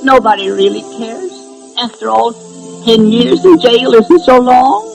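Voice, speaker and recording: A man's voice: extremely high pitch, measured pace, creaky, faint music behind, full character voice, low-quality recording. A man voicing a cheeky, wisecracking boy dummy in a radio comedy, sly and melodramatic with a chuckle. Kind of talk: 1930s radio comedy acting.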